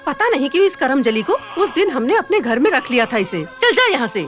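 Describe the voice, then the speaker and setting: A woman's voice: high-pitched, very animated, fairly quick, a bit bright, soft background music. A woman voicing a cruel cartoon stepmother who scolds shrilly and dotes on her own son. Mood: angry. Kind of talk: cartoon voice acting.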